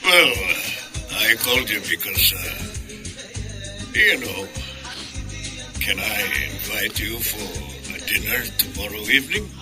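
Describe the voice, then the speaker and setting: A gentlemanly manager and suitor in a radio soap. His voice is low and unhurried, courteous and deliberate, with clear music behind it. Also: animated. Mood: romantic. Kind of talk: radio drama acting.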